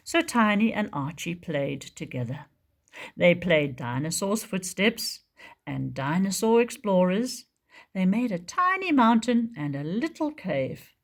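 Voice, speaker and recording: Woman reading a picture book slowly. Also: fairly low pitch. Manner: slow, expressive storytelling. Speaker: preschool teacher. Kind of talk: picture-book read-aloud.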